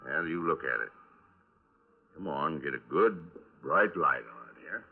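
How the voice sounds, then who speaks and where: An actor playing a folksy, patient but probing police lieutenant in a 1950s radio crime drama. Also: male voice, very deep voice, lively intonation, a little slow, creaky, husky, full character voice. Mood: coaxing. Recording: restored 1950s radio, narrow band.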